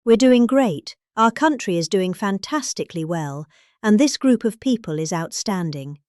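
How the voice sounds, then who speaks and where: A middle-aged British woman reads aloud with pompous, deadpan gravity. Her pitch swoops up and down, and she leans on pointed pauses and heavy emphasis. The sound is close and dry, with no room around it. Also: no echo. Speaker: comic reader. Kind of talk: deadpan dramatic reading.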